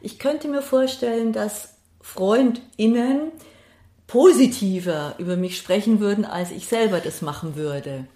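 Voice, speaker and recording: Middle-aged woman. Interview guest thinking aloud in casual, hesitant phrases, medium pitch, roomy recording. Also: unhurried, warm tone. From Passau, Bavaria, Germany. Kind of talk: casual reflective conversation. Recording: roomy, echoey sound.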